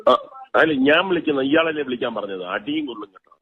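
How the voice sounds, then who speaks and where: A man's voice: on the high side, animated, fast, narrow, tinny audio, noisy background. A man with a Kerala Malayalam accent firing blunt, irritated questions in a phone conversation. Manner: blunt, interrogating. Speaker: recipient of a prank phone call.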